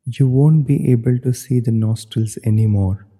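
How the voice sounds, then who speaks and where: A man leading a guided meditation as an instructor, giving measured, step-by-step directions. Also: medium pitch, dark tone. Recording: long hollow reverb.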